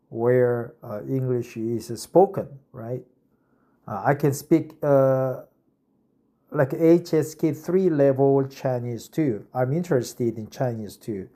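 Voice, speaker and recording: A man's voice: medium pitch, warm tone. A man in his fifties from South Korea, a non-native English speaker with a Korean accent, reading an article aloud and explaining it with frequent "uh" fillers and "right?" tags. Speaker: English-practice reader and explainer.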